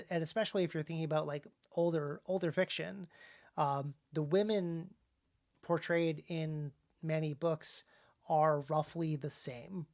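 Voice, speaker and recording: A man co-hosting a podcast, talking casually and rephrasing haltingly as he explains his points. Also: fairly high pitch, darkish tone. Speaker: podcast co-host and writer. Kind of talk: casual conversational discussion.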